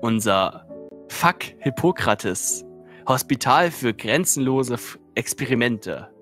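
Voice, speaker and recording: A man's voice: mid-range voice, lively intonation, takes it slowly, fairly bright tone, soft background music. A man hosting a livestream, moving between casual chat and narration of horror stories. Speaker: livestream host and horror story reader.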